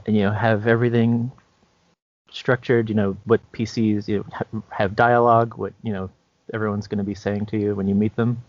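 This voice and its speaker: A man co-hosting a podcast, explaining things informally and a little haltingly. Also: mid-range voice, dry recording. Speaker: podcast co-host. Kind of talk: casual podcast chat. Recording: dry, dull lo-fi recording.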